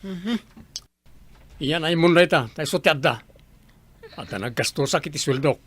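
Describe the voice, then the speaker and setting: A man's voice: a little high, animated, measured pace, fairly bright tone, breathy edge, exaggerated voice. A man playing a flippant, irresponsible young husband in a radio drama, swaggering and dismissive.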